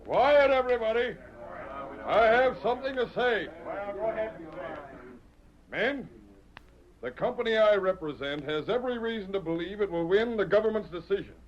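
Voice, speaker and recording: An actor playing a slick, threatening crooked land agent in an old radio western, oratorical and domineering. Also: male voice, high voice, animated, normal speed, long pauses. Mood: brisk, domineering. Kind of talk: 1940s radio western acting. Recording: muffled old radio recording.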